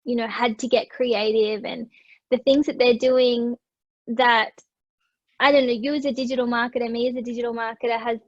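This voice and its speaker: A woman's voice: fairly high pitch, level tone, moderate pace, no echo. Chatty Australian woman, dry dull sound. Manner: chatty, loose, many fillers. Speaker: digital marketer for wineries. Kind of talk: casual conversation.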